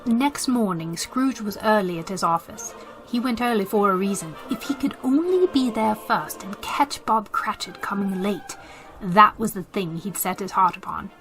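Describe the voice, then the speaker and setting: A woman narrator reads a classic tale for radio in a mid-pitched voice. Her phrasing is deliberate and theatrical, with emphatic beats, and faint music plays behind her. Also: expressive, a bit bright, low-quality recording. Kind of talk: scripted storytelling narration for radio drama.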